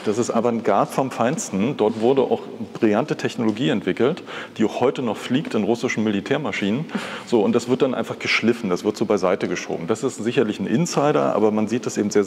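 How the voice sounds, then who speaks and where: Man in his fifties, lowish voice, long hall reverb, conversational, digressive storytelling, East German background. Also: a little fast, a little mellow. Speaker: interview guest, art patron. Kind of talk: reflective personal storytelling in a live talk. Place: large glass-fronted indoor hall.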